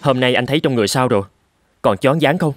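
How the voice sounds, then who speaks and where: A calm, encouraging army doctor in a cartoon, played by a man. His voice is a man's of medium pitch, dry, with faint music underneath. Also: fast talker, no echo, lightly acted. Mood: reassuring. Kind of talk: cartoon dubbing.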